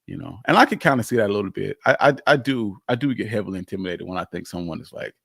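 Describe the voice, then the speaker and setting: A man's voice: lowish voice, expressive, quick pace, vocal fry, fairly bright tone, breathy edge, no room sound, studio quality. A man hosting a live history stream, talking casually and emphatically as he answers questions, often repeating phrases for weight.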